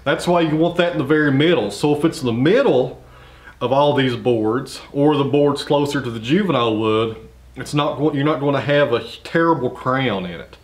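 A man's voice: medium pitch, normal speed. A man, explaining things in a folksy, rambling way with lots of fillers. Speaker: sawmill channel host. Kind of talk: casual explanatory vlog. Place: large open-sided sawmill shed. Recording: roomy echo in a shed.

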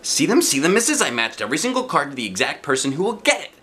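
Man voicing an excitable squealing little girl. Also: a little high, fast, pitched above the performer's own voice. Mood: excited. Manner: childlike, squealing, breathless enthusiasm. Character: excitable little kindergarten girl, gushing and blunt.

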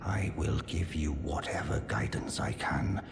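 An actor playing a gentle old giant blacksmith, courteous and self-deprecating, in a video game. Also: male voice, a bass voice, unhurried, gravelly, in a whisper, heavily acted. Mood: courteous, earnest. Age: older. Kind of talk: scripted video game character dialogue. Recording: loud music behind the voice. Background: music.

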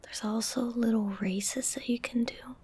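A young woman doing soft-spoken ASMR gameplay commentary, gentle and breathy, close on a studio microphone. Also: fairly high pitch, steady tone, slight background noise. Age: young adult. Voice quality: breathy, whispered. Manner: gentle, unhurried, casual. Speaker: ASMR game streamer. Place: indoor streaming room.

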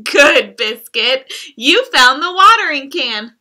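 A woman reading the lines of a cheerful, caring little girl in a gentle, sing-song storybook way. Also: sits high, sing-song, takes it slowly, full character voice.

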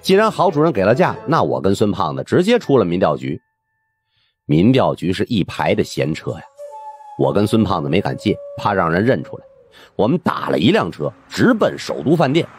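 Male audiobook narrator, lowish pitch, extremely swooping intonation, husky, wry colloquial storytelling, faint music behind. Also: a little fast. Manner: expressive, wry.

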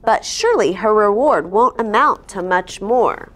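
A middle-aged woman reading a picture book aloud to children, expressive, with explaining asides and check-in questions. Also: medium pitch, measured pace. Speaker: story time host reading aloud. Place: indoor studio set, seated at a table. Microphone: lapel.

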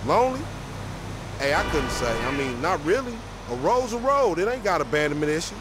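An actor playing a loudmouthed, suspicious young street hustler in a video game, talking in teasing, profane slang. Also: male voice, a little high, sing-song, a little fast. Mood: irritated banter. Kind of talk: video game dialogue, bantering. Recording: vehicle noise behind the voice.

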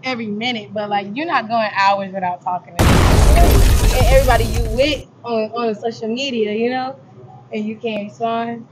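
A young woman from Savannah with a Southern US accent answers a street interviewer in a direct, confident way. Her voice sits a bit high. Clear noise from the city street is heard around her. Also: moderate pace. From Savannah, Georgia. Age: young adult.

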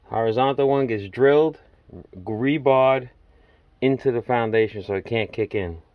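A man's voice: mid-range voice, unhurried, no echo, phone-quality sound. Plainspoken middle-aged man filming his DIY job. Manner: plainspoken, rambling, working-man talk. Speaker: DIY home builder filming his project. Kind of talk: casual walkthrough narration. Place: outdoors at a house, in a dug-out basement stairwell. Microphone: handheld phone camera.

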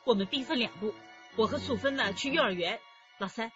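Brisk, fast-talking practical big sister played by an actress. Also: female voice, a little high, muffled recording, over music, lightly acted. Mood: brisk reassurance. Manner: brisk, take-charge. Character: bossy, practical older female family friend. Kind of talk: radio drama acting.

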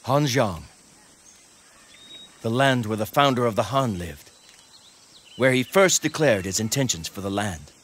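An actor playing a loyal, earnest young warrior in a video game cutscene, speaking in a solemn, formal, declamatory way. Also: male voice, medium pitch, expressive, a little slow, pauses often, fairly bright tone. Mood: earnest, reflective. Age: young adult. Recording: background music under dialogue.

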